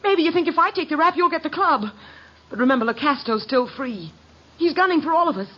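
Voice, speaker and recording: An actress playing a brazen, tipsy gambling-club crook, petulant and defiant, in old radio drama style. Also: female voice, sits high, expressive, brisk. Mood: angry, cornered. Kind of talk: 1950s radio detective drama acting. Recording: noisy, dull old radio recording.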